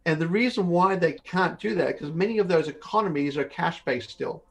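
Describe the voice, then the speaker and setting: A man's voice: on the high side, moderate pace, gravelly. A man in his fifties, born in Australia and raised in northern Canada, hosting a live webinar and explaining things step by step in a conversational way, often repeating words. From born in Australia, grew up in northern Canada. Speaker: company host of an online Q&A session. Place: home office, indoors. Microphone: video call.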